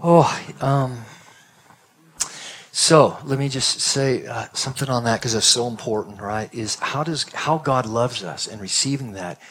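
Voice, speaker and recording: A man's voice: mid-range voice, a bit bright, slightly rough. A man leading a church discussion as pastor, affirming each answer and repeating key words. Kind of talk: interactive sermon.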